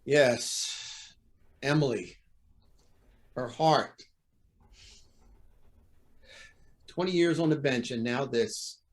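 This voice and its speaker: A man's voice: on the high side, big pitch swings, measured pace. Man acting a stern, blustering judge, swooping delivery. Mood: broken. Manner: blustering, indignant, formal. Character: stern, indignant male judge, proud and cornered. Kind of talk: old-time radio drama acting.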